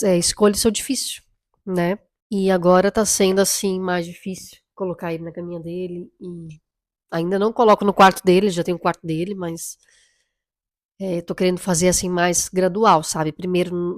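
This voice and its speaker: A woman speaks in a low, slightly hoarse voice, worn by a lingering cold. She talks in a casual, reflective way, often checking in with her listener. The sound is dry, with no room echo. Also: little pitch movement, normal speed. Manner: chatty, reflective, often tags lines with "right?" and "you know?". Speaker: mother vlogger. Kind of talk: casual chat to camera.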